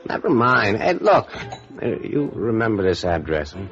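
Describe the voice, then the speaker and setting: An actor playing a jittery small-time crook and informant in a 1950s radio noir, slangy, stammering and evasive. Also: male voice, fairly low pitch, sing-song, moderate pace, a bit bright, faint music behind. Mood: nervous, scheming. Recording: dull old radio recording.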